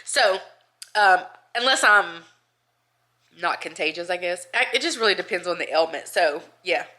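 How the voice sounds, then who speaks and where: Stuffy-nosed woman vlogging from home, lowish voice but bright and bold, rambly and giggly. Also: animated, moderate pace. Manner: chatty, rambling, laughs between thoughts. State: congested, nasal from a cold. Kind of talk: casual chat to camera.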